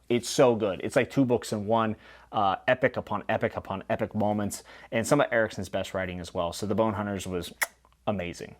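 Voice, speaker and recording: A man hosting a casual book review vlog, talking in an informal, enthusiastic and emphatic way. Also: lowish voice. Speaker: book review channel host. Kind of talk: casual monthly book wrap-up to camera.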